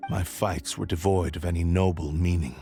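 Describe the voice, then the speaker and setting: A man voicing a remorseful, ancient cursed sword spirit in a video game, speaking in a grave, formal, measured way. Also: sits low, fairly even intonation, warm tone, soft background music. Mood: solemn.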